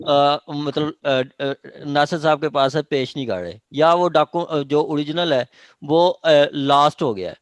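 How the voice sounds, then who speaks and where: A man with a Pakistani accent explaining law to a class informally and haltingly, often checking that they follow. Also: on the high side, clean tone, dry recording. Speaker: law lecturer. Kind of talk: informal classroom legal explanation with question and answer.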